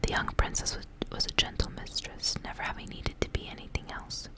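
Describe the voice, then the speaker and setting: Whispering woman reading a story, ASMR. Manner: whispering, soft and unhurried storytelling. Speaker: ASMR reader.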